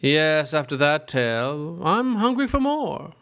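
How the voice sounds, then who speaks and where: A man's voice: a little high, big pitch swings, measured pace, fairly bright tone, exaggerated voice. A man playing a theatrical, mock-genteel, ghoulish host of a horror story show. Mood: gleeful menace.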